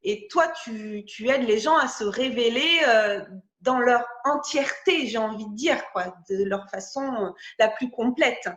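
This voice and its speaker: A woman interviews a guest over a video call, her voice high and bright in pitch. She talks in a chatty, hesitant way, full of fillers and restarts. The room around her rings with noticeable echo. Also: measured pace. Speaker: podcast host interviewer.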